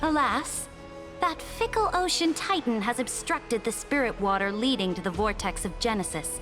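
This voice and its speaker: A woman's voice: on the high side, animated, normal speed, natural voice. A woman playing an imperious, pompous empress who speaks formally and commandingly in a dramatic video game. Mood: haughty, grand. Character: imperious, haughty female Imperator who calls allies her subjects. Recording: music behind the voice.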